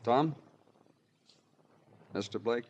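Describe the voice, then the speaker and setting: A man's voice: mid-range voice, drawn-out words, darkish tone, slightly rough. An American man acting a hardened, insistent homicide detective in a 1950s radio crime drama, with terse, probing questions. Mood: accusing. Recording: vintage 1950s radio recording.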